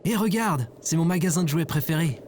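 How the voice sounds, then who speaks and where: An actor playing a lazy, grumbling slacker man in his thirties, casual, slangy and deadpan, in an acted audio comedy. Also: medium pitch, brisk, a little hiss or hum, close to an everyday voice. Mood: mildly amazed.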